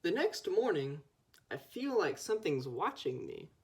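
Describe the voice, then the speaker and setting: A young female Scout reading a children's picture book aloud, with expressive storytelling. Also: lowish voice, unhurried. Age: child or teenager. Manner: expressive storytelling with acted dialogue. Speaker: Scout volunteer reader.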